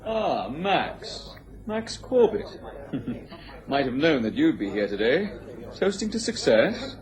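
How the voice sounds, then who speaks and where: A man's voice: on the high side, big pitch swings, slow pace, crowd noise. An actor playing a taunting upper-class businessman in a radio drama, with a sardonic, goading manner. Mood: mocking. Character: sneering, taunting upper-class English businessman, a bitter rival.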